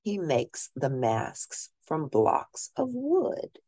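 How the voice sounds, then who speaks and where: A woman teacher reading a children's picture book aloud at a deliberate pace, pausing to ask her listeners questions. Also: sits low, huge pitch swings, warm tone, no echo, clean studio sound.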